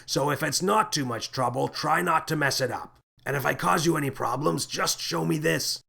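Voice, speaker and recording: Narrator acting a dramatic, brooding spaceship captain, gravelly edge. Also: male voice, medium pitch, normal speed, fairly bright tone. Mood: urgent, calling out. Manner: dramatic, brooding, blurting when agitated. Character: grave, sorrowful adult male spaceship captain, excitable when alarmed. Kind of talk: children's sci-fi storybook character voice.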